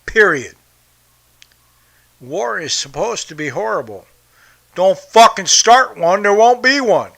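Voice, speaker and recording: A man's voice: sits high, animated, slow, gravelly, long pauses, fairly bright tone. A man hosting a podcast, from the United States, ranting bluntly and profanely about politics. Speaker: podcast host. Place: home in Brazil.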